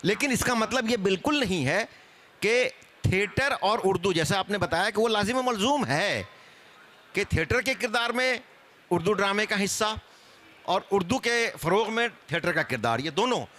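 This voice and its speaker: Panelist, male. High, sharp-edged voice, scholarly explainer in live discussion. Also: expressive, normal speed. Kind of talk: spoken panel discussion on theatre history.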